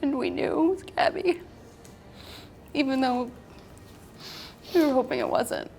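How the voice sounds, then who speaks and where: Creaky-voiced middle-aged woman. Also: sits high, measured pace, darkish tone, slight background noise. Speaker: interview guest. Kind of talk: quiet personal account.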